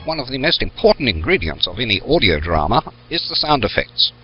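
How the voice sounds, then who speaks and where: A man's voice: mid-range voice, sing-song, brisk, highs cut off, soft background music, lightly acted. A man playing an earnest, dry reporter narrating a scripted mock documentary. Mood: earnest, presenting. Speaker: documentary presenter.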